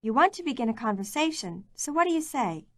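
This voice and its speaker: Clear, measured woman narrating a language course. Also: on the high side, big pitch swings, no room sound, studio quality. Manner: clear, measured, prompting the learner. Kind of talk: scripted instructional narration.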